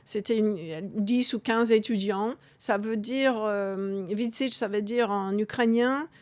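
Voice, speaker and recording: A middle-aged woman, in a mid-pitched voice with many pauses and restarts. The close, dry mic gives her a plain, intimate sound. She talks as a guest in a conversational interview. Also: fairly even intonation, a little mellow, no room sound. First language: English. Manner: halting, many restarts and fillers. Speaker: guest author.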